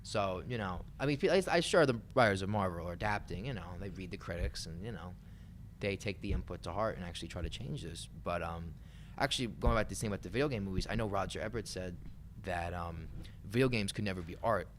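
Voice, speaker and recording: A man with a low voice talks quickly in a casual podcast discussion. He rambles informally, hedging as he goes, over faint room noise. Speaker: podcast co-host.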